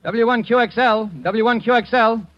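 An actor playing a brisk, insistent, puzzled male engineer in 1940s radio drama style. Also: very high voice. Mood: urgent. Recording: dull, hissy 1940s radio recording.

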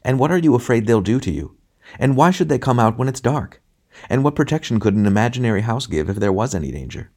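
Man as puzzled traveler, inquisitive, persistent, lifted pitch, audiobook dialogue. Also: wildly swooping pitch, quick pace, few pauses, a little mellow, heavily acted. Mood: puzzled, insistent. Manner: questioning. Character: curious, puzzled male traveler, persistent questioner.

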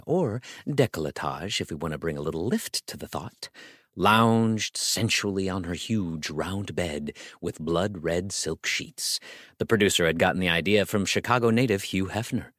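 Animated, low-pitched male story narrator. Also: no room sound, clean studio sound. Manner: theatrical, wry, savoring phrases. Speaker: audiobook narrator, actor. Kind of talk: scripted comic story narration.

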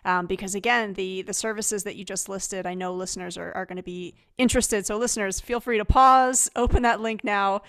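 A woman's voice: medium pitch, steady tone, brisk, hardly any pauses. A woman hosting a podcast, introducing her guest and reflecting on the topic with occasional restarts. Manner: warm-toned, reflective, with fillers and restarts. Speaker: podcast host. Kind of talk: podcast interview.

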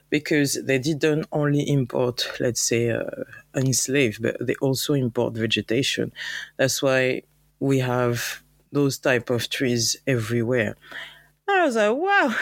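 A woman with a French accent, a guest founder on an interview podcast, talking upbeat and animated and sometimes searching for words. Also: a bass voice, no echo, clean studio sound. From France. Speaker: guest, travel company founder. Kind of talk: conversational interview.

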